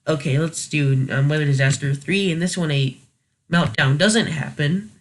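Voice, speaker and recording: Male video host, voice a bit high; unscripted, casual delivery, tentative and self-correcting. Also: normal speed. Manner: hesitant, thinking aloud, self-correcting. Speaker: host of a video review series. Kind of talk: casual unscripted commentary, rating scenarios.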